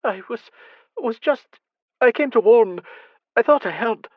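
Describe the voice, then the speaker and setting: A man voicing a timid, fretful elderly blind noblewoman who stammers softly in an audiobook. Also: mid-range voice, expressive, normal speed, no echo, lo-fi sound, voice pushed up. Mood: flustered. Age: older. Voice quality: stammering, soft and hesitant.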